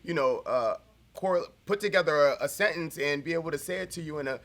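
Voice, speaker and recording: A man from the Philadelphia area co-hosting a casual discussion, explaining his points in a measured way and sometimes searching for words. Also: fairly high pitch, fairly bright tone. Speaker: co-host.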